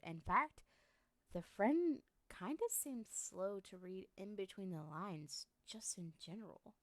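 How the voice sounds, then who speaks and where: A woman's voice: lowish voice, no room sound, lightly acted. A woman playing a lovestruck, obsessive young woman in an ASMR roleplay, talking to the listener in a coy, rambling, hesitant way. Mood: pointed, defensive.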